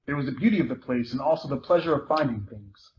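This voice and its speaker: A man's voice: mid-range voice, animated, fast talker, a little mellow. A man giving a dramatic reading of a novel, narrating and acting out its dialogue. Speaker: theater performer reading a book excerpt aloud. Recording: muffled live recording with faint noise.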